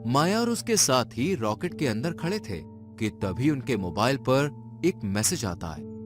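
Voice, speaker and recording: A man's voice: medium pitch, big pitch swings, measured pace. A man narrating a scripted dramatic story in a suspenseful storytelling manner. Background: background music.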